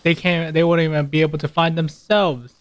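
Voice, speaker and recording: A man with a fairly high voice commenting casually on a game. He is irreverent and jokey, talking in mock boasts. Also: level tone, fairly quick. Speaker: gameplay streamer.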